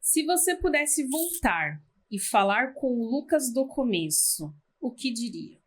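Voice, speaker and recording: A woman's voice: on the high side, very animated, a little slow, breathy edge. A woman in her thirties with a Brazilian Portuguese accent, a podcast host who asks questions and gives brief, encouraging replies. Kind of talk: interviewing.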